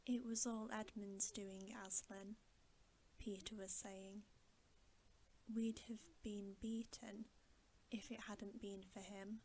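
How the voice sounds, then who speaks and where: A woman reading a bedtime story in ASMR style, soft, breathy and often whispering, in a hushed, soothing manner. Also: medium pitch, little pitch movement, slow, slight background noise, low-quality recording. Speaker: ASMR host reading aloud.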